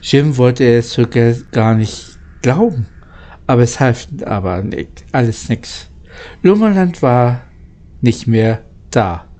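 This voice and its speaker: A man reading a children's story aloud in a halting way, stumbling over words now and then. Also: medium pitch, animated, mellow. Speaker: amateur audiobook reader.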